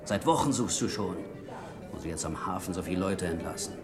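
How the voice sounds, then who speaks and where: An actor playing a sly, pushy small-time gangster in an old German radio crime play, talking in slangy, cajoling jabs. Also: male voice, deep voice, brisk, music in the background, lightly acted. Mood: needling, persuasive. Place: radio drama studio.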